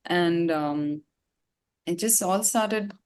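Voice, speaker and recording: A woman from India speaks in a fairly low voice. She tells her own story as a musician being interviewed, candid and reflective as she goes. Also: very slow, a little mellow, smooth.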